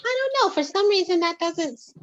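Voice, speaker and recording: A woman in her fifties chatting casually, lively and teasing, quick to laugh. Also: very high-pitched. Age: 50s. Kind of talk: casual group chat. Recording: muffled with faint noise.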